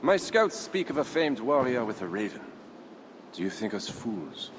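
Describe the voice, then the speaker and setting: A male actor as a proud, shrewd Frankish count negotiating in a video game. His pitch swings dramatically through formal, pointed phrases. The voice rings in a long hall over faint ambience. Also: very animated, background noise, close to an everyday voice. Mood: guarded, sardonic. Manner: formal, measured, pointed rhetorical questions.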